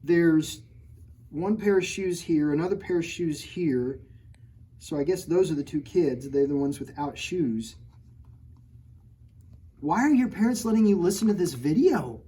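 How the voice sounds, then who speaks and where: A man's voice: on the high side, expressive, unhurried, pauses often, mellow, slightly rough. A man reading his own picture book aloud to children at storytime, joking with them and putting on mock outrage at his own story. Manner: playful, jokey, mock-outraged, talking straight to children. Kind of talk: storytime read-aloud with playful asides to kids.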